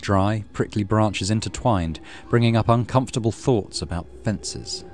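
A man's voice: on the low side, normal speed, slight background noise. A man hosting a let's play, reading a horror visual novel aloud with dramatic storytelling and muttered asides. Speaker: gaming channel host.

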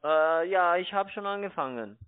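Higher-voiced salesman on the phone. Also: animated, moderate pace, clear, resonant tone, dry recording. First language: not German. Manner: wordy, repetitive, stumbling phrasing. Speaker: call centre investment salesman. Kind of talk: sales pitch on a phone call. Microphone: telephone. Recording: thin, band-limited phone line, dry.

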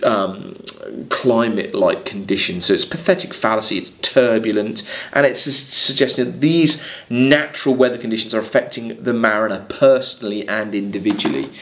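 A man's voice: lowish voice, moderate pace, a little hiss or hum. A man in his thirties with an English accent, lecturing on literature, reading verse aloud and explaining it in hesitant, exploratory phrases. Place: small plain room, seated at a desk.

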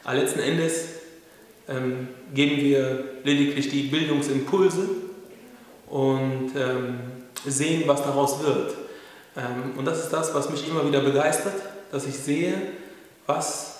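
A man's voice: medium pitch, fairly even intonation, a little slow, echoey room, long reverb tail, low-quality recording. A man in his thirties, speaking earnestly and thoughtfully in a school building. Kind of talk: scripted acting and interview talk.

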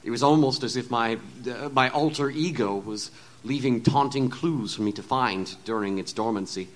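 A man acts an anguished gentleman doctor from a steampunk horror drama. His voice sits at a medium male pitch. He talks in elaborate, courteous Victorian sentences, broken by hesitations and stammers. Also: moderate pace, lightly acted. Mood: troubled, rueful. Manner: formal, wordy Victorian diction with hesitant stammers. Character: anguished, refined gentleman scientist, Doctor Jensen. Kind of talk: Gothic steampunk audio drama acting.

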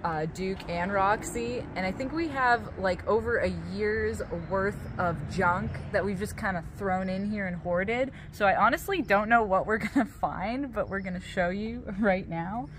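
Young female vlogger, excitable casual talker, recorded outdoors with clear background noise. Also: medium pitch, unhurried, few pauses. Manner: bubbly, laughs often, excitable. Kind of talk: casual chat. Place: outdoor parking lot beside a vintage car.

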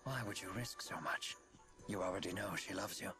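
A man voicing a courteous, dignified old gentleman in a game cutscene, speaking formally and graciously. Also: deep voice, fairly even intonation, moderate pace, over music. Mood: earnest. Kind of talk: scripted game cutscene acting.

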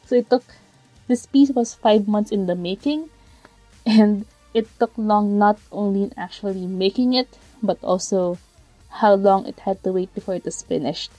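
A slightly hoarse woman casually narrating her digital painting process, rambling with fillers and self-corrections. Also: medium pitch, normal speed, dark tone, dry recording, faint music behind, rough recording. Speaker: digital artist narrating a speedpaint. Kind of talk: casual voiceover commentary. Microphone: home voiceover mic.

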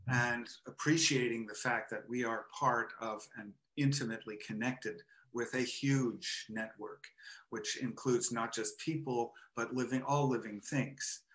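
An older man from Montreal, a photographer and artist, talking in a hesitant, reflective way with many pauses and restarts, on a headset over a video call from home. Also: mid-range voice, steady tone, a bit bright. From Montreal, Canada. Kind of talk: casual interview conversation. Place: home room with framed photo, indoors.

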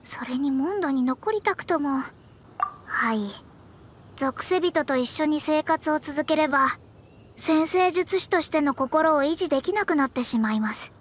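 A woman's voice: sits high, lively intonation, unhurried, slight background noise. A woman playing a proud, easily flustered young female astrologer in a Japanese anime game, speaking formally and theatrically. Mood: despairing, exasperated. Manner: formal polite speech, theatrical. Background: background music.

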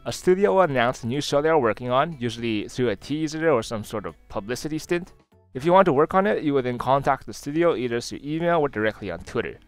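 Canadian guy doing a scripted advice explainer, mid pitched voice, music playing behind. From Toronto, Canada. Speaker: animator giving career advice.

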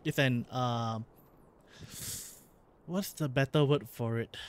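A man from Singapore with a Singaporean English accent, a vlog host chatting casually and explaining in quick bursts that often stop and restart. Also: mid-range voice, smooth. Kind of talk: casual chat while streaming.

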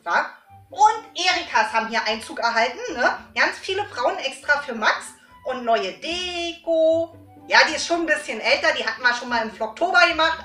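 A middle-aged woman, chatting informally to viewers in an animated way with frequent tag questions. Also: high-pitched. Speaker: host of a book giveaway vlog. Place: small indoor room lined with books. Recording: roomy echo, faint music.

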